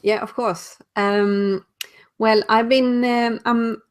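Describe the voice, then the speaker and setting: A woman with a Swedish accent, a guest answering interview questions over a video call, pausing to search for words. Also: a little high, level tone. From Sweden. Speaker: guest, speaker and author.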